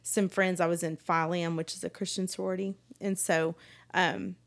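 Middle-aged woman, low-pitched voice. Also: little pitch movement, moderate pace. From East Texas, United States. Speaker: podcast guest. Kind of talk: casual conversation, telling life story.

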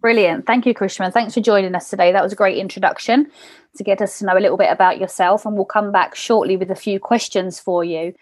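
A woman hosting an interview, thanking guests and handing over to the next speaker in a brisk, upbeat way. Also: medium pitch, steady tone, no room sound. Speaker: host.

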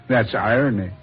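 The Devil, sardonic and courtly, unhurried delivery, old radio horror, muffled recording with music underneath. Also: male voice, mid-range voice. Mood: amused, taunting. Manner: amused, courtly, sardonic, deliberate phrasing. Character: suave, mocking Devil receiving a dead man.

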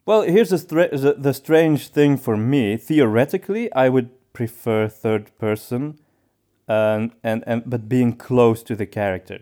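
Smooth-voiced man chatting on a podcast. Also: medium pitch, dark tone. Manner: halting, thinking aloud. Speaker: podcast co-host. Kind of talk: casual podcast conversation.

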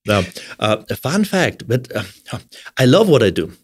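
A man's voice: mid-range voice, very animated, breathy edge, dry recording, clean studio sound. A middle-aged man with a German accent, a guest in a casual podcast interview, speaking with frequent fillers and restarts. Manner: halting, many fillers and restarts. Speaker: orthotics specialist guest.

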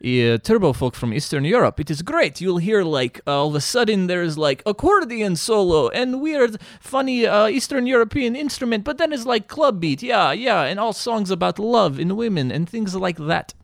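A man's voice: sits high, big pitch swings, moderate pace, vocal fry, few pauses, no room sound, clean studio sound. A man from Bosnia chatting casually as a podcast guest, explaining things in a jokey, digressive way with some crude slang. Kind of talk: casual chat, explaining at length.

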